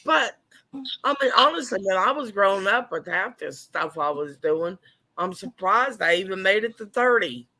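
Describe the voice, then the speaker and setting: Animated woman in her fifties, lively pitch. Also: normal speed, low-quality recording. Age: 50s. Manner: animated storyteller. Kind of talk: casual chat.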